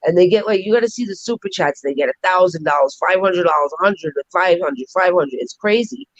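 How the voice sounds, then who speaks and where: A middle-aged woman with a raspy voice and a New York accent, chatting and joking on a live stream. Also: on the low side, no echo, dull audio. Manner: chatty, animated, quick to joke. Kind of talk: casual live stream chat.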